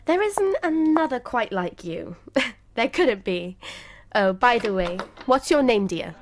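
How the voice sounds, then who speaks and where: Radio play role: a woman as a brisk, loyal, teasing wife, fairly high voice, animated and emphatic. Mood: brisk, amused. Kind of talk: radio drama acting.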